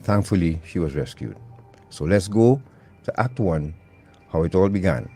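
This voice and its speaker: A man's voice: low voice, lively intonation, normal speed, mellow, breathy edge, faint music behind. A man hosting a live radio talk show, chatty and prone to repeating words. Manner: conversational, repeats words while searching.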